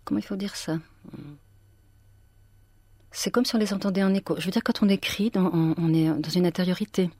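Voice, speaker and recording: A woman's voice: on the low side, steady tone, brisk, pauses often, warm tone, no echo, studio quality. A French woman in her fifties, an author speaking thoughtfully in a radio interview, often repeating words as she searches for them. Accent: French with Swiss touches. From France. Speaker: author guest.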